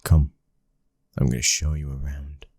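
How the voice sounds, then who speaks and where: A man acting as a dominant, devoted mafia boss's son with a British accent. His voice is extremely low and husky, kept to a narrow, restrained melody. He speaks intimately, as in an ASMR boyfriend roleplay. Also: flat delivery, unhurried, a little mellow, dry recording, studio quality, heavily acted. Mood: relaxed, affectionate. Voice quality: breathy, husky. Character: smooth-talking, loving, dominant young mafia boss's son. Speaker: audio roleplay voice actor. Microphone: close microphone.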